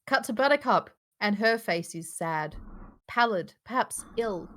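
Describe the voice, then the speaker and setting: A woman's voice: medium pitch, expressive, unhurried, a bit bright. A woman reading stage directions as the narrator of a live script table read.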